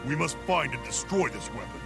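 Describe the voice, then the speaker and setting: An actor playing a stern, proud military officer in a fantasy video game, with a gravelly voice and a forceful, commanding manner. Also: male voice, mid-range voice, big pitch swings, brisk. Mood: grim, resolute. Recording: game music behind the voice.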